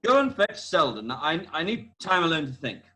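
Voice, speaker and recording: A man's voice: on the high side, sing-song, moderate pace, bright, forceful tone. A man playing a bossy, clever detective in a comic amateur radio play, with a grand, declamatory delivery. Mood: confiding.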